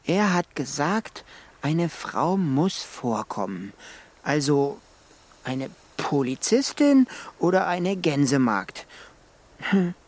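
An actor playing an impulsive, flustered and lovestruck would-be sailor in a children's radio play. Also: male voice, a little high, very animated, slow pace, exaggerated voice. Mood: earnest. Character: excitable, lovestruck would-be sailor man, Mr. Cuckoo.

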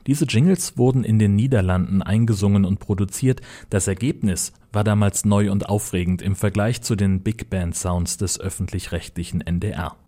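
A man's voice: lowish voice, normal speed, few pauses, mellow. A man narrating a scripted report as a radio reporter.

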